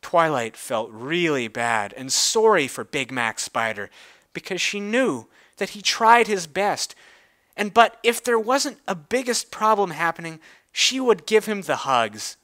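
Bright-voiced man, theatrical narrator. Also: fairly high pitch, sing-song, a little slow. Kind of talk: mock-dramatic story reading.

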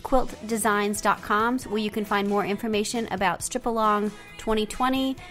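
A woman hosting an interview podcast, relaxed and casual, with many pauses and short interjections. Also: mid-range voice, soft background music. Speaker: podcast host.

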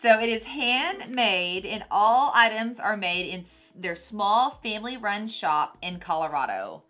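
Woman in her thirties, Texan accent, bright forceful voice, exclamatory, casual unboxing host, light music underneath. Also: medium pitch, measured pace. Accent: Texan American. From Texas, United States. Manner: animated, gushing, exclamatory. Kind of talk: casual product unboxing chat. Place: small decorated room at a table, indoors.

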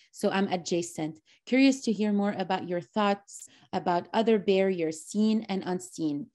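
A woman's voice: medium pitch, mellow. A woman in her thirties hosting a casual online talk over a video call, eager and full of fillers. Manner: enthusiastic, many fillers. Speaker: host. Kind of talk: casual interview chat. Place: small room indoors.

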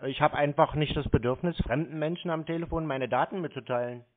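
A man's voice: fairly high pitch, fast. A man arguing on a phone call in a curt, dismissive and contrary way. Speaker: scam-call baiter. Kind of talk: argumentative phone conversation. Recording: narrow, tinny phone-like sound.

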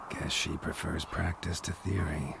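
An actor playing a gruff, wry middle-aged monster hunter in a video game, with dry, deadpan delivery. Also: male voice, very deep voice, measured pace, a loud crowd, heavily acted.

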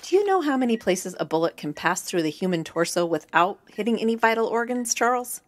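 A woman playing a cold, calculating military commander in an audio drama, stern, measured and condescending. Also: mid-range voice, sing-song, lightly acted. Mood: probing, contemptuous.

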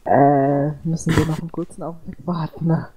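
A man's voice: a little high, level tone, a little fast, a crowd in the background. A man roleplaying a farm worker over voice chat, hesitant and fumbling for words.

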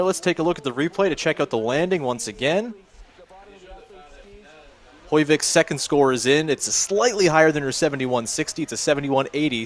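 Man calling a ski contest live. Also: fairly high pitch. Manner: steady, informative, reads out scores. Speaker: play-by-play commentator. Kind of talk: live sports commentary.

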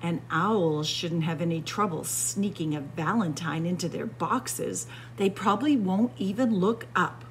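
A woman's voice: lowish voice, a little slow, hardly any pauses, a little hiss or hum, pitched below the performer's own voice. A woman voicing an eager, easily dismayed male turkey in a children's storybook, earnest and exclaiming. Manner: earnest, exclaiming, gobbling when upset. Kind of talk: children's storybook character reading.

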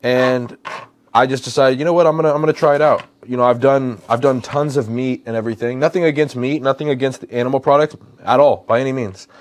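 A man's voice: mid-range voice, fairly even intonation, fast. American male, 30s, lifestyle vlogger. Conversational, mid-range voice, repeats phrases to stress them. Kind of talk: casual vlog chat while cooking. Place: home kitchen, indoors.

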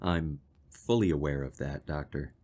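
A man playing an earnest, worried fiancé in an audio drama, speaking politely and seriously in a measured way. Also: a bass voice, a little mellow. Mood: earnest, uneasy. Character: earnest, worried young fiancé telling his strange story. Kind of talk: audio drama acting and narration.

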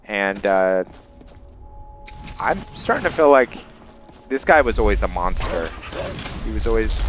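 Low-voiced man, slow unhurried delivery. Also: slight background noise. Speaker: let's play host. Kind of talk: casual game commentary with light roleplay.

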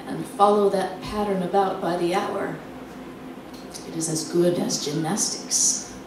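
An actress performing a theatrical monologue as a lonely, nervous, confined wife slipping into obsessive madness, halting and dramatic with drawn-out words. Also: female voice, on the low side. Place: live performance in a cafe venue. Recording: very echoey room, distant mic, noisy.

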